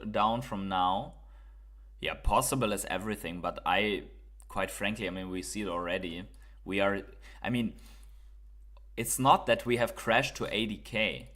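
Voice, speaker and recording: A man's voice: mid-range voice, bright, forceful tone. A man from Germany with a German accent, hosting a casual crypto livestream, reading viewer comments and thinking aloud with fillers and repeats.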